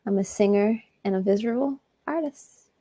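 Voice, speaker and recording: A woman from Miami, a singer and artist tutoring on a video call, cheerful and quick to laugh. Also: mid-range voice, warm tone. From Miami, Florida. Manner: cheerful, gushing, laughs often. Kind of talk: casual video-call conversation.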